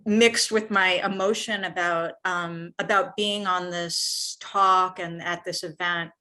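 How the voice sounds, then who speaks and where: Woman, Israeli American, lowish voice, slow pace, halting, earnest, reflective personal speech. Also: steady tone, bright, forceful tone. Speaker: volunteer speaker at a nonprofit's online celebration. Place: online video event.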